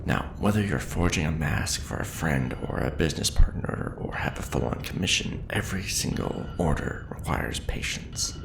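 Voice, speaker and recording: A man playing a seasoned, patient and wry craftsman teacher in an ASMR roleplay, speaking in a measured, hushed way with dry humor. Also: a bass voice, animated, gravelly, reverberant, noisy background, exaggerated voice. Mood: patient, cautioning. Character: seasoned male sci-fi fantasy mask-forging craftsman teacher, patient, wry. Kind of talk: ASMR roleplay, instructional monologue.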